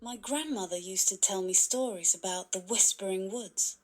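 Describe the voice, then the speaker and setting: A woman playing a local resident recalling eerie old tales in a scripted witness interview, with deliberate pauses. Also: mid-range voice, darkish tone, lightly acted. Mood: eerie, uneasy.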